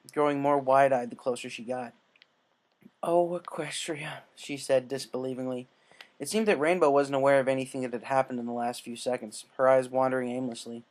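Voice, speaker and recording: A man casually introducing and narrating fan fiction as its reader. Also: medium pitch.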